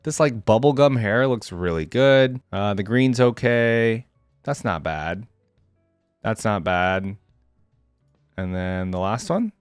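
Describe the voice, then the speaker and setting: A man's voice: on the low side, takes it slowly, long pauses, clean tone, no echo, soft background music. Casual man reacting aloud, dry close sound. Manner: slangy, thinking aloud, second-guessing himself. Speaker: reaction video host, gaming fan. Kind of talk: casual unscripted reaction commentary.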